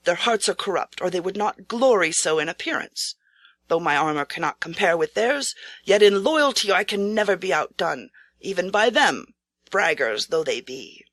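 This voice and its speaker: A woman's voice: on the low side, lively intonation, moderate pace, a bit bright, no echo. A woman voicing a poor but proud male samurai knight in a storybook, speaking earnestly and formally. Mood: proud, scornful. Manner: earnest, formal, solemn speech.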